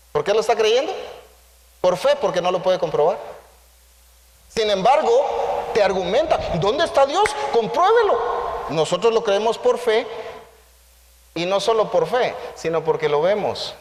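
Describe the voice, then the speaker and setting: A man with a Central American accent preaching conversationally to a congregation, animated and playful, full of rhetorical questions and acted-out dialogue. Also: high voice, measured pace, pauses often. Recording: echoey hall with long reverb.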